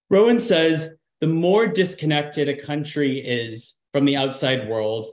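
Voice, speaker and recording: A man in his thirties giving a conversational slide talk, with frequent ums. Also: mid-range voice. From United States. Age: 30s. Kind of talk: presentation with slides. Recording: echoey room.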